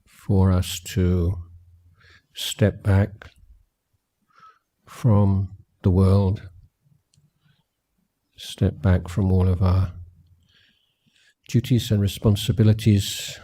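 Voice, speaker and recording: Male meditation guide. Low, even pitch, measured and gentle retreat talk, unhurried phrases with long pauses. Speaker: Buddhist meditation teacher.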